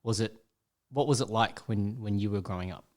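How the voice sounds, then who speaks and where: Man with a lowish voice asking casual questions. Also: brisk. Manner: prompting, follow-up questions with restarts. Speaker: podcast host interviewing his father.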